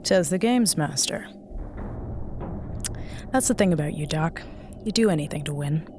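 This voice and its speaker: An actress playing a bitter, commanding spaceship captain in an audio drama, speaking tersely with sardonic distrust. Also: female voice, low voice, lively intonation, a little slow. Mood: sardonic, distrustful. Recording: music under the voice. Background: dramatic music.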